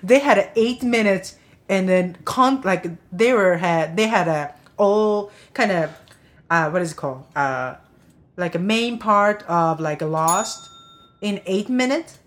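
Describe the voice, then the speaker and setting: A woman co-hosting a casual podcast chat, speaking halting non-native English with many fillers and frequent giggles. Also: medium pitch. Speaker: podcast co-host.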